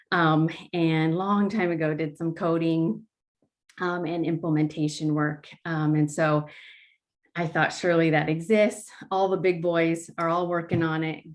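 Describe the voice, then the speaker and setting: A woman founder giving a casual pitch talk, speaking slowly with many filler ums. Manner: slow-talking, many ums. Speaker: startup founder presenting.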